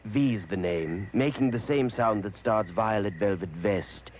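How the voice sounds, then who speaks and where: An actor playing a genial, scheming letter puppet hero with polite, theatrical phrasing in a children's educational show. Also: male voice, fairly low pitch, measured pace, darkish tone, a little hiss or hum, natural voice. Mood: polite, flattering. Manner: polite, theatrical old-fashioned exclamations.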